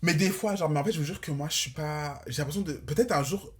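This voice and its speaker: A man's voice: a little high, quick pace. A man in his twenties chatting casually as a podcast guest, rambling and slangy, dropping in English words. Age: 20s. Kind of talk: casual chat.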